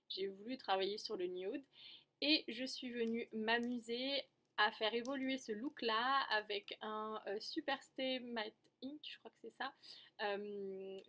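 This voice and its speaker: A woman's voice: fairly high pitch, sharp tone. A woman giving a casual makeup tutorial, chatty and explanatory, often correcting herself as she goes. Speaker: beauty vlogger.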